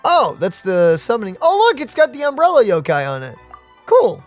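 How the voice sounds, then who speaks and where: A woman casually reacting to a video game as a streamer, expressive and incredulous. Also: on the high side, moderate pace, soft background music.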